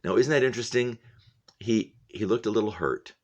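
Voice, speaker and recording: A man's voice: fairly low pitch, brisk, a little mellow, highs cut off. A man reading a story aloud in the style of a teacher, with explanatory asides. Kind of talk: read-aloud narration with commentary.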